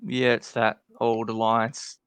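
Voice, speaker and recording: A man's voice: mid-range voice, completely flat delivery, takes it slowly, fairly bright tone, smooth, no room sound. A man co-hosting a casual podcast chat who thinks aloud with many fillers and restarts. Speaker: podcast co-host ranking game alliances.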